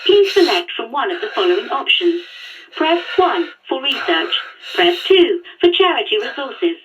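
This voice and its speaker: A woman's voice: high-pitched, big pitch swings. A woman playing a polished, impersonal automated hotline announcer, courteous and formulaic, in an audio drama. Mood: polite, impersonal. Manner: courteous, formulaic, measured phrasing. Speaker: recorded phone menu voice. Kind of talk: scripted corporate announcement. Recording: dull, distant phone-line playback.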